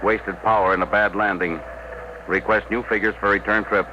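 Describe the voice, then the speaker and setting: An actor playing a terse, commanding, grimly practical rocket pilot in 1950s radio drama style. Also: male voice, level tone, moderate pace, lightly acted. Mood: grim, matter-of-fact. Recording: noisy muffled vintage radio recording, rumbling hiss.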